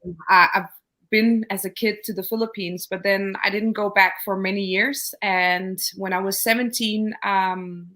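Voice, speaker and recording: A woman's voice: mid-range voice, fairly even intonation, moderate pace, bright, forceful tone. Hesitant woman from Denmark, telling her story. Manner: thoughtful, hesitant with many fillers and restarts. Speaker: guest entrepreneur. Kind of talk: casual interview conversation.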